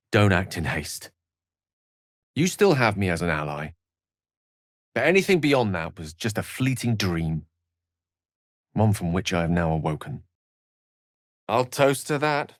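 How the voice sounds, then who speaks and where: A man's voice: fairly low pitch, a bit bright, dry recording, clean studio sound. A man voicing an articulate, bookish human wizard in a video game, wry and gallant, with theatrical, wordy delivery. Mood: wistful, courteous. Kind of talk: video game character acting.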